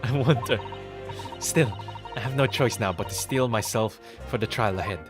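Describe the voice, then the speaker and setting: A man voicing an earnest, devoted young woman, a judicial assistant who speaks in a soft, formal, hesitant way. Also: mid-range voice, moderate pace, natural voice. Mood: wistful, resolved. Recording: music behind the voice.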